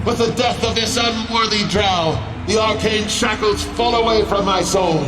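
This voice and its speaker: A man's voice: sits high, steady tone, normal speed, close to an everyday voice. A man voicing a grand, imperious magical being who speaks in formal declamation. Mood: triumphant. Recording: echoing, distant, under loud music.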